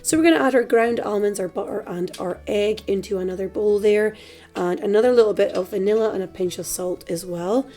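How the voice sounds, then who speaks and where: A Scottish woman hosting a cooking tutorial in a chatty, relaxed way, her voice a little hoarse from a dry throat. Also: mid-range voice, a little fast. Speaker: cooking channel host. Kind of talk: casual cooking tutorial voice-over.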